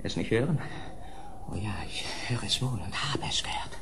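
An actor playing a tormented, terrified nobleman going mad in an audio drama, speaking in feverish, halting repetitions that break into whispers. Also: mid-range voice, expressive, natural voice. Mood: haunted, despairing. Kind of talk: 1970s German audio drama acting. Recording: noisy old audio drama recording.

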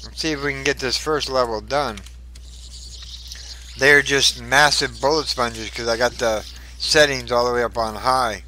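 Gaming host, male, crisp bright delivery, talks to himself mid-fight. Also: mid-range voice, steady tone, unhurried, slight background noise, low-quality recording. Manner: chatty, mutters to himself while fighting. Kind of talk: casual gameplay commentary.

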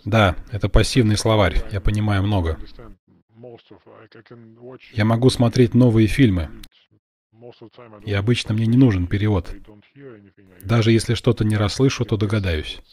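A man from Russia, a blogger, talking in a calm, measured and modest way on a video call. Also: lowish voice. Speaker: blogger interviewing a guest. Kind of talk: calm Russian voice-over of a translated conversation.

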